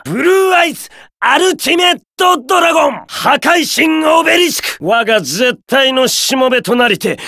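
An actor playing an arrogant, domineering young card duelist in an anime video game, declaiming imperious battle commands theatrically. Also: male voice, extremely high pitch, sharp tone, dry recording, studio quality, exaggerated voice. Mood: proud, grandiose.

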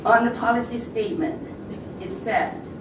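A woman whose first language is Cantonese, giving a careful, halting public comment at a packed meeting. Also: fairly high pitch, animated. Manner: careful, halting, with many pauses. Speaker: public speaker at school board meeting. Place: large packed meeting room. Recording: echoey, distant, noisy room recording.

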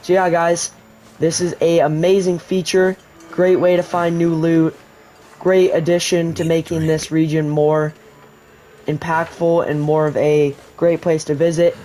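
A man's voice: on the high side, measured pace, slight background noise, rough recording. A man giving a casual, rambling voice-over tutorial for a survival video game, explaining as he plays. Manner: relaxed, rambling explanation with restarts. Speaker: gaming YouTuber.

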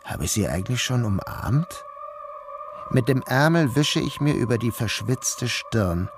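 An actor playing a troubled, dazed man who narrates his own day in a measured, literary radio drama style. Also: medium pitch, expressive, noisy background. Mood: dazed, strained. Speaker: first-person narrator.

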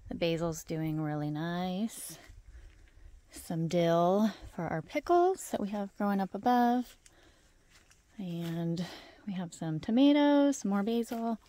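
A woman in her thirties, a homesteader, chatting casually as she walks through her fenced garden outdoors and talks into a handheld camera. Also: mid-range voice. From Idaho, USA. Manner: relaxed, chatty, trailing off between points. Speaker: homesteader giving a garden tour. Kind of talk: casual walking garden tour.

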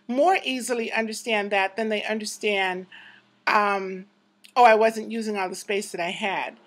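A woman's voice: on the high side, a bit bright. A woman in her fifties talking casually and reflectively to her viewers from her apartment, close to a handheld camera. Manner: chatty, reflective, laughs often. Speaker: vlogger. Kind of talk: casual personal vlog. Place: apartment room indoors.

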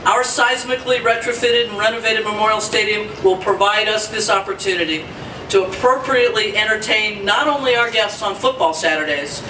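High-voiced man, upbeat speech. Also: a little fast. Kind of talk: press conference remarks. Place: conference room at a stadium. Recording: echoey room from far off, noisy.

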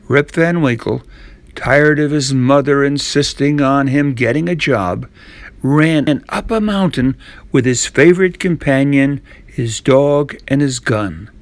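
A man narrating a scripted classic tale as a storyteller, in a measured way. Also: mid-range voice, a bit bright, no room sound.

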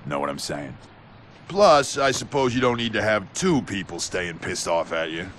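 A man playing a gruff, bitter, hot-tempered older skater in a video game cutscene. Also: lowish voice, lively intonation, normal speed, fairly bright tone, a little hiss or hum. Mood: gruff, calmer.

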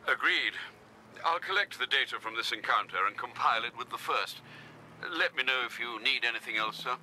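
An actor playing a loyal older butler in a video game, formal, articulate and dryly deferential. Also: male voice, mid-range voice, a little fast, bright, forceful tone, slight background noise, rough recording. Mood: briskly informative. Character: courteous, dry, loyal older male butler assistant. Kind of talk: video game cutscene acting.

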